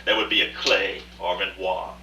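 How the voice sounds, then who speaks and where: A man's voice: low voice, flat delivery, normal speed, sharp tone. A man acting a cultured, proud businessman, telling his tale articulately and emphatically in a live radio drama. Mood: reminiscing. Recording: roomy far-off rehearsal recording, faint hiss.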